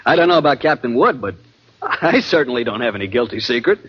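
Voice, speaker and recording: A man's voice: medium pitch, lively intonation, fast, heavy background noise, lightly acted. An actor playing an anxious, haunted American man who tells a sea suspense tale in tense, dramatic 1940s radio style. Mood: friendly. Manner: tense, brooding, dramatic narration. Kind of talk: 1940s radio drama acting and first-person narration. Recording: muffled old radio recording, loud organ music.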